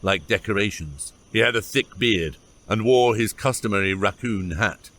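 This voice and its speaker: A man's voice: lowish voice, normal speed, a bit bright. A man reading scripted narration for an epic fantasy audiobook.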